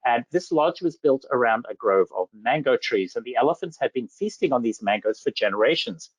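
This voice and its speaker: A man's voice: mid-range voice, expressive, a little fast, slightly rough, no echo. Enthusiastic man from Zambia presenting safaris. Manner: enthusiastic, full of superlatives. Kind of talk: slide presentation to travellers. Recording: dull lo-fi dry audio.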